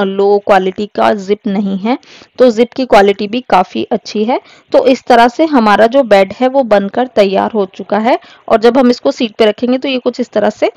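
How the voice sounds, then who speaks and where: A woman's voice: on the high side, darkish tone. A woman talking casually as she demonstrates a product, explaining each step. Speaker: vlogger reviewing a car bed. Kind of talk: casual product demo vlog. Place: inside a car.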